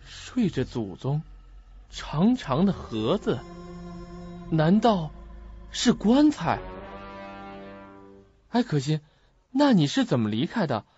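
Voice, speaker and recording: An actor in an audio drama playing an earnest, puzzled young student who questions and muses to himself. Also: male voice, on the high side, a little mellow. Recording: muffled lo-fi audio.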